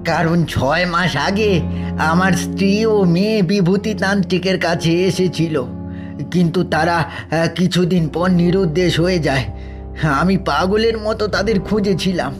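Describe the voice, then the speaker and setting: An actor playing an old, grieving, suspicious village man in an audio horror drama, halting and insistent, repeating phrases for emphasis. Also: high voice, music in the background. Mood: anguished. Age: older.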